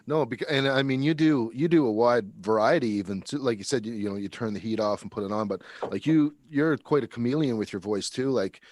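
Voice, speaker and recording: A man's voice: mid-range voice, a little fast, hardly any pauses, darkish tone, dry recording, studio quality. A man co-hosting a podcast, chatting casually with a guest. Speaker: podcast co-host, recording engineer. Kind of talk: casual interview chat.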